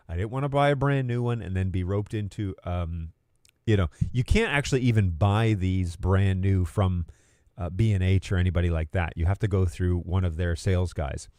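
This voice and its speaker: A man's voice: fairly low pitch, dark tone, no room sound, clean studio sound. A man giving a casual live tech walkthrough, explaining in an informal way and thinking aloud as he goes. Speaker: presenter.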